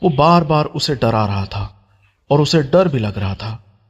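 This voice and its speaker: A man's voice: mid-range voice, expressive, a little slow, slightly rough, lo-fi sound. A man reading a scripted story as an audiobook narrator.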